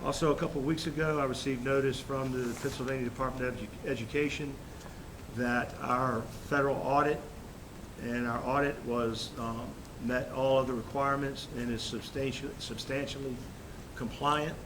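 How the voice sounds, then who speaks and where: A man with a head cold giving a formal superintendent's report at a school board meeting, halting with fillers and restarts. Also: steady tone. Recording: noisy room recording with rumble.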